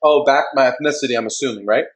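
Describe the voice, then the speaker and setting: Fast-talking joking man. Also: mid-range voice, echoey room. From United States. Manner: joking, teasing banter. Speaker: co-host. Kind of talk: casual live-stream chat.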